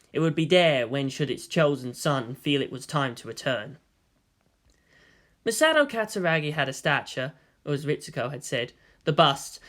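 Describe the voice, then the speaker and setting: A woman reading fan fiction aloud as an expressive storyteller, in audiobook-style narration. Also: sits low.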